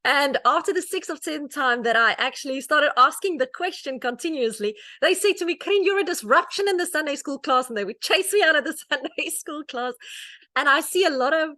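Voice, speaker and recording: A woman's voice: sits high, brisk, dry recording, studio quality. Earnest Afrikaans-accented South African woman. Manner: earnest and conversational, many restarts and "um"s, animated when telling stories. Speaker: guest speaker, author. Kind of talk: personal testimony talk to an audience.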